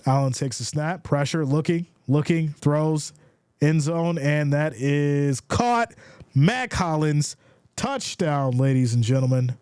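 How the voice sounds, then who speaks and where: A man's voice: on the high side, slow, smooth. A man with a congested voice doing casual play-by-play commentary on a live stream, calling plays and reading out viewer comments. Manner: builds excitement calling plays.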